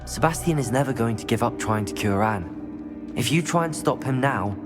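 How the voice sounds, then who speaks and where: A young man acting as a calm, pragmatic teenage student wizard in a video game, with a measured, polite delivery. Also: mid-range voice, close to an everyday voice. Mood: persuasive. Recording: faint music behind the voice.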